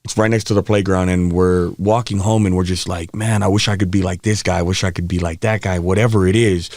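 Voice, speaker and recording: Laid-back man from Hawaii, low voice. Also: fairly quick, no echo, studio quality. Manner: relaxed, laughs often, animated when telling stories. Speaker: guest. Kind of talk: candid storytelling interview. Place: podcast studio. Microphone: studio mic.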